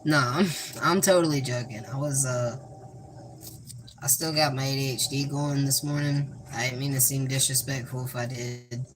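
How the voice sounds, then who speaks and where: A young man with a Southern US accent casually talking through a cooking vlog in a flat, monotone, deadpan way, rambling and joking. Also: medium pitch, moderate pace. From American South. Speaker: cooking vlogger. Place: home kitchen. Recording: noisy home recording.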